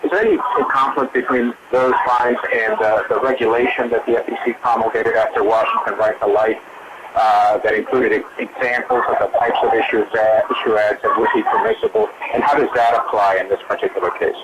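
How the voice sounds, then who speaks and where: A man, a reporter, asking a detailed question in a halting way, repeating words, over a conference phone line. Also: fairly low pitch, level tone, heavy background noise. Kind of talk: spontaneous press question.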